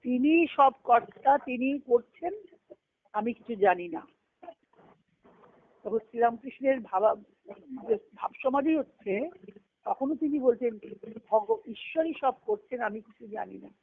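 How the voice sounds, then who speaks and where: A woman's voice: a little high, expressive, slow pace, pauses often, a little hiss or hum. A woman giving a devotional lecture on scripture over a conference call, teaching by repeating key phrases and answering her own questions. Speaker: spiritual discourse speaker. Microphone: conference call phone line. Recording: tinny narrowband conference phone line.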